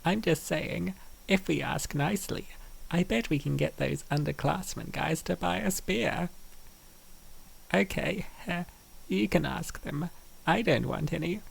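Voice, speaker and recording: Casting: man as teasing college-age female roommates, raised pitch, heavy vocal fry, comic dub banter. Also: a little slow, heavily acted, rough recording. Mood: casual, bantering. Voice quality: raspy, creaky.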